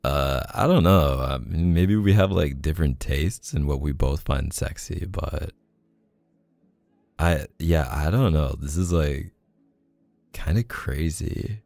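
Male, very low and creaky, for an affectionate, bashful boyfriend in an intimate audio roleplay; hesitant, casual delivery. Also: takes it slowly, a little mellow, no echo. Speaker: ASMR boyfriend roleplay performer. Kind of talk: intimate casual one-sided roleplay acting.

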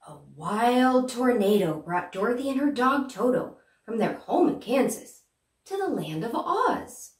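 A young woman playing a gracious good witch, telling a children's story in an expressive, deliberate way. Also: a little high, voice pushed up. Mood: gentle storytelling. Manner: animated, deliberate, addressing small children. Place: small plain room indoors. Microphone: far camera. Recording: roomy, distant microphone.

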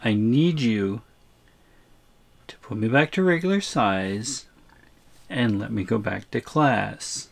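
A man's voice: medium pitch, lively intonation, slow pace, gravelly, long pauses, exaggerated voice. A man playing a bewildered, exasperated shrunken student in an audio roleplay, stammering and incredulous. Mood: exasperated, pleading. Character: bewildered, exasperated shrunken male student, three inches tall.

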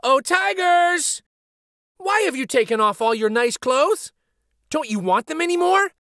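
A man voicing a small, clever young boy in a storybook, with a high, pleading manner. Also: big pitch swings, unhurried, pushed and bright, no room sound, clean studio sound, full character voice. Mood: cheeky. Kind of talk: storybook reading.

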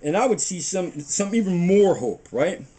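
A man's voice: high voice, normal speed, slightly rough. A middle-aged man hosting a home cooking video, giving a rambling, preachy monologue while baking in a small kitchen, picked up by a camera mic a few feet away. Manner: preachy, animated, talks while working with his hands. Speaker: home cooking show host. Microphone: camera mic on the table a few feet away.

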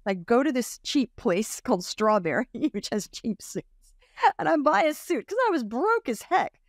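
A woman in her fifties, raised in Australia, telling stories as a podcast guest, lively and acting out the voices of others. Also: fairly high pitch, moderate pace, no room sound, clean studio sound. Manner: animated storyteller, acts out quoted voices, laughs often. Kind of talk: casual interview storytelling. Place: home room with bookshelf. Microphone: large studio mic on boom arm, video call.

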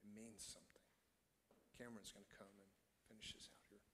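A middle-aged man preaching a sermon as a pastor, earnest and deliberate, with pauses between phrases. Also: lowish voice, fairly even intonation, background noise. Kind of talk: sermon to a congregation. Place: church stage, indoors. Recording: echoey hall with long reverb.

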